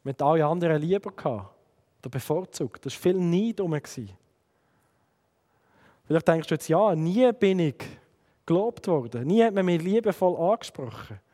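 Swiss German man in his thirties, earnest sermon delivery, voice a bit high, long reverb. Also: lively intonation, normal speed, mellow. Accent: Swiss German, Sense district of Fribourg. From Switzerland. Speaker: preacher.

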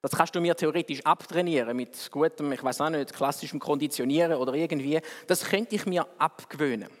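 A man preaching with a Swiss German accent and a slightly high voice. He talks to his congregation in a conversational, wry way, pushing ahead quickly and then slowing down hard for emphasis. His voice rings with the long reverb of a church hall. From Switzerland. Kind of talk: sermon.

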